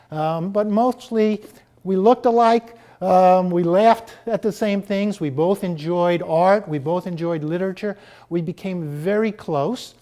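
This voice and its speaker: A middle-aged American man, a children's book author, speaking in an interview in an unhurried, thoughtful way, with many fillers. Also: high-pitched, warm tone. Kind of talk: interview conversation about his book.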